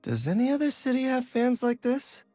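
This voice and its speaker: An actor playing an earnest, self-deprecating young superhero in a video game, casual and wry. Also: male voice, very high-pitched, very animated, moderate pace, dark tone, soft background music, heavily acted. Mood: sincere. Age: young adult.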